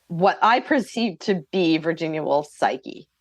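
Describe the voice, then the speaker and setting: A woman's voice: deep voice, animated, measured pace, dry recording. A middle-aged woman, a guest author, answering podcast interview questions in an earnest, thoughtful way.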